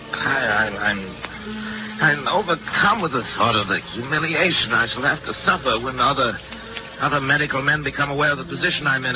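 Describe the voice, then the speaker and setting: An actor playing a tormented, guilt-ridden doctor and husband in 1940s radio suspense, halting and repeating himself. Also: male voice, mid-range voice, lightly acted. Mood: anguished, brooding. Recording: muffled, noisy 1940s radio recording.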